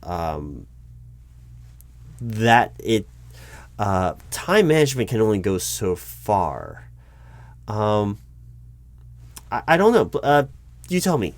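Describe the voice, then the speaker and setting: A man's voice: on the low side, big pitch swings, slow, long pauses, no echo, heavily acted. A man playing a polite, awkwardly tactful corporate hiring manager in a one-sided interview roleplay, speaking aloud in a hesitant, stammering, diplomatic way. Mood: doubtful. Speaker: recruiting manager conducting a job interview.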